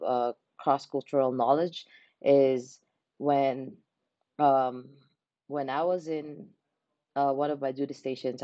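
Mellow-voiced woman, unhurried. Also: very deep voice, no room sound, muffled recording. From Philippines. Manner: unhurried, pausing to search for words. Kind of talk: unscripted reflective monologue. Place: small indoor room by a window.

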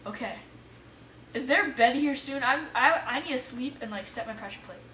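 Female let's player, fairly high and excitable, casual self-talk, echoey room with faint noise. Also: a little fast. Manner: excitable, exclaiming and muttering to self. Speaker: gamer playing an adventure map for viewers. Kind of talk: casual let's play commentary. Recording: roomy echo, distant mic, faint noise.

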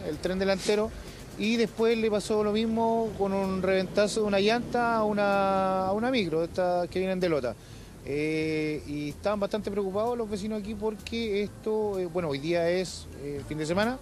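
A middle-aged man with a Chilean accent, interviewed outdoors on a city street, talking hesitantly with many fillers. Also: high-pitched. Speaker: interviewed local witness. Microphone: reporter's handheld mic.

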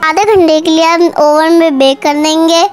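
A woman's voice: extremely high pitch, flat delivery, moderate pace. A young-sounding female cooking host, narrating a recipe step by step in voice-over. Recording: music behind the voice. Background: background music.

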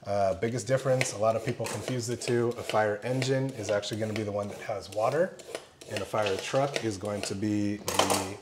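A young man, a firefighter-paramedic, calmly explaining station life while cooking, with polite "yes, ma'am" replies. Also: on the low side, fairly quick. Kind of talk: casual cooking chat. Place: large fire station kitchen, indoors. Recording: clear background noise.

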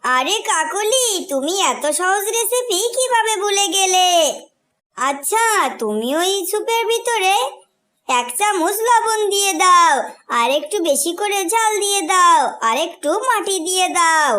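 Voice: very high voice, animated, unhurried, sharp tone, full character voice. A performer voicing a small, trusting but easily indignant female tailorbird in a children's cartoon. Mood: stern.

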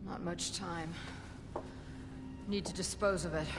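A woman's voice: on the low side, steady tone, slow. Middle-aged female game villain scientist: bitter, defiant, reverberant, music underneath. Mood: wary, scornful. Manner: scornful, defiant. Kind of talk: cinematic video game acting. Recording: long echoing reverb, music behind.

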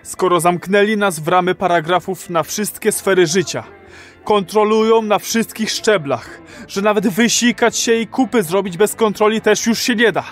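An actor playing an earnest, rebellious citizen of a dystopian future in a radio play. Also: male voice, high-pitched, normal speed, fairly bright tone, soft background music. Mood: fired up. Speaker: actor in an audio drama.

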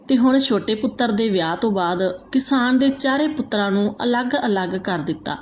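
A woman reading out a moral folk tale as a storyteller, in a steady, even manner. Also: a little high, a little hiss or hum.

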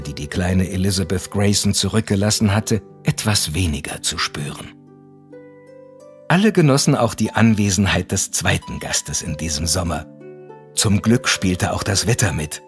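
Low, expressive male storyteller. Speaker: narrator. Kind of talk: scripted audio drama narration. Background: music with harp.

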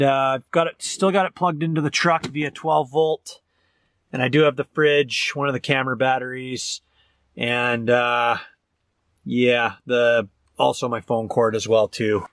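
A man's voice: medium pitch, fairly bright tone, dry recording. Casual man vlogging, dry close sound. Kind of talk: casual outdoor vlog chat. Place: outdoors beside and inside a parked truck. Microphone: handheld camera at arm's length.

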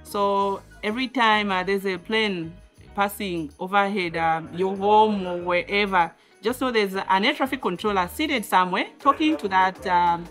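A woman around her thirties with a Zambian accent, explaining air traffic work in an interview in short, halting phrases. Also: mid-range voice. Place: radar control room, indoors. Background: background music.

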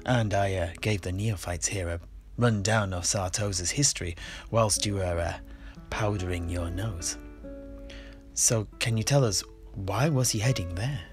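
A man playing a genial old fantasy tavern keeper and storyteller, with courteous, old-fashioned, measured phrasing. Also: lowish voice, close to an everyday voice. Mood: courteous, storytelling. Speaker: host. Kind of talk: in-character fantasy roleplay dialogue. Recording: music behind the voice.

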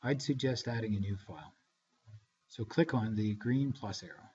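Dull-sounding male software tutorial narrator. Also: fairly low pitch, moderate pace. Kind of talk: step-by-step scripted tutorial narration. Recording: dull, lo-fi screencast voice-over.

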